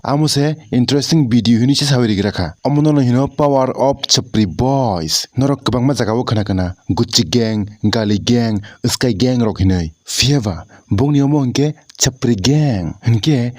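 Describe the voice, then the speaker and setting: Male video commentator, mid-pitched, dry close recording; casual, crude, mocking rant. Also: a little mellow, no room sound. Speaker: video creator reacting to prank clips.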